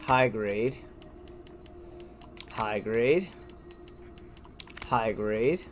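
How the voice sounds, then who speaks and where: A man's voice: fairly low pitch, very slow, slight background noise. A man giving casual, informal gameplay commentary as a let's play host, thinking aloud and reacting as he goes.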